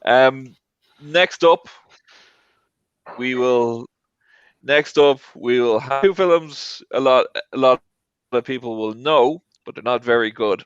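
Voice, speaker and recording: A man's voice: mid-range voice, slow pace, pauses often, clear, resonant tone, no room sound, highs cut off. A man hosting a casual panel chat over an online call. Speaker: host of a film tournament show. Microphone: online group call.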